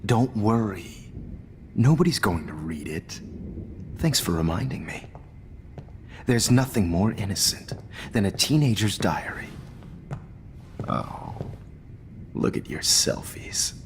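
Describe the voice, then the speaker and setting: A man's voice: fairly low pitch, animated, slow pace, pauses often, long reverb tail, slight background noise. An actor playing a smug, condescending and menacing male teacher in a video game, with a theatrical, mocking manner. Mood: calm, menacing.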